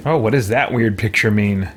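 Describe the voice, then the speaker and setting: A man's voice: lowish voice, normal speed, husky. A man in his thirties doing casual let's-play commentary from a room at home, hesitantly thinking aloud. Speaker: gaming streamer. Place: small indoor room at home.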